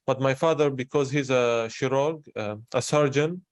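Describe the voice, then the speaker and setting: A man's voice: mid-range voice, normal speed, dry recording. A young man from Syria, talking candidly about himself in a personal conversation. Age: young adult. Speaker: interviewer in a conversation.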